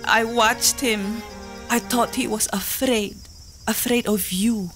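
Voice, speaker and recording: A fierce, desperate young island woman pours out her heart in slow, poetic pleading. Her voice sits slightly high and unhurried. Faint music plays beneath this audio drama. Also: steady tone, fairly bright tone. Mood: bitter. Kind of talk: full-cast audio drama.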